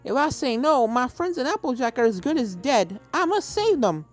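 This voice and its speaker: An adult putting on an earnest, high-pitched young filly. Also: very animated, normal speed, soft background music. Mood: determined. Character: brave young filly, country pony, earnest, anxious.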